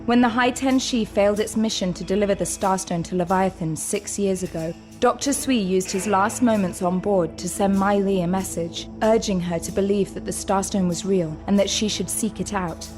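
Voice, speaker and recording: A woman's voice: mid-range voice, moderate pace, few pauses, music in the background, close to an everyday voice. A woman narrating a sci-fi audio drama as a solemn storyteller, in a dramatic scripted style. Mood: solemn, suspenseful.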